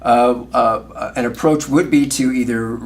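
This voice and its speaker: A male sales trainer delivering coaching feedback on a call. He speaks instructively at a medium pitch, offers praise and often checks in with short questions. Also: fairly even intonation, measured pace. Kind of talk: coaching commentary on a phone call.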